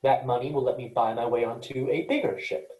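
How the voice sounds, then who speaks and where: A man's voice: medium pitch, steady tone, brisk, darkish tone, natural voice. A man playing an earnest, cautious, literal-minded space freighter worker, speaking precisely and matter-of-factly in a staged reading. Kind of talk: virtual staged reading of a sci-fi play. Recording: echoey room.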